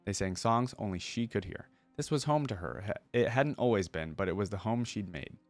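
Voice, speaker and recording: Low-voiced man in a dry, close recording. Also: animated, normal speed, dry recording, faint music behind. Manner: breaks off to muse aloud and explain. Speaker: reaction video host. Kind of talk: reading a story aloud with casual commentary.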